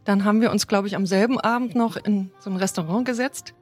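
A woman's voice: medium pitch, quick pace. Reflective woman in her fifties. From Thuringia, Germany. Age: 50s. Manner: reflective and thoughtful. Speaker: interviewee. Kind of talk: personal storytelling. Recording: soft music underneath.

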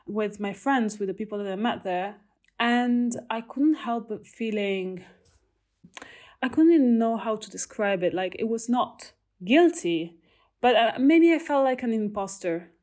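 Italian-accented young woman, academic, thoughtful, halting delivery with restarts. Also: mid-range voice, darkish tone. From Italy. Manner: thoughtful, many fillers and restarts. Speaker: researcher. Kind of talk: reflective conversational talk.

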